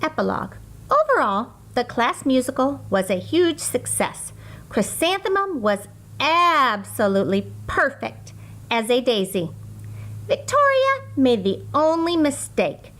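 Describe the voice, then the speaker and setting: A woman reads a picture book aloud for children. Her voice sits on the high side and she takes her time, delivering the story slowly and deliberately with sing-song emphasis. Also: sing-song, fairly bright tone, low-quality recording. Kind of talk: expressive scripted storybook read-aloud.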